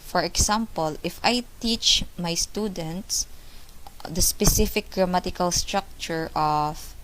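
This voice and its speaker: Young woman student doing a class report, lowish voice, goes over everything twice with examples. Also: poor audio. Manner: explanatory, restates points with examples. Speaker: student presenter.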